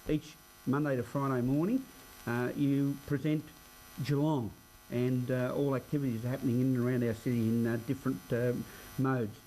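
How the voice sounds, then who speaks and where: An Australian man hosting a radio news show, interviewing in a conversational but formally phrased, halting way. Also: mid-range voice, fairly even intonation, warm tone, slight background noise, low-quality recording. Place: radio studio.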